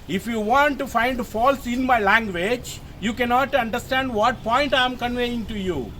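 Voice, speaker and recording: High-pitched older Tamil Indian man. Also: moderate pace, pushed and bright, low-quality recording. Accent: Tamil Indian English. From Tamil Nadu, India. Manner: emphatic, instructive, repeats phrases. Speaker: retired army officer giving career guidance. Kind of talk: informal instructional talk to camera. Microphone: mobile phone camera. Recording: phone recording with background noise.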